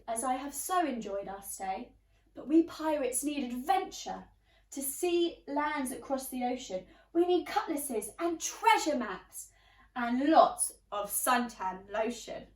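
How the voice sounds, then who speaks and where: Woman as friendly pirate boy, storybook, earnest, high voice, unhurried, roomy echo. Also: recorded from a distance. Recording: roomy, echoey, away from the mic.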